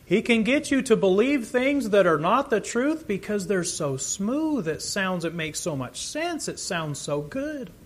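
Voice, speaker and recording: A man's voice: high voice, very animated, a little slow, hardly any pauses. A man preaching a sermon, earnest and emphatic, his volume rising and falling as he teaches.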